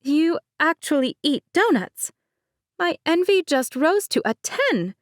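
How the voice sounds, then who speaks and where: A woman's voice: high-pitched, very animated, takes it slowly, dry recording, clean studio sound, voice pushed up, heavily acted. A woman voicing a bubbly, well-off young bride who teases and gushes. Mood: teasing. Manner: gushing, teasing, bright.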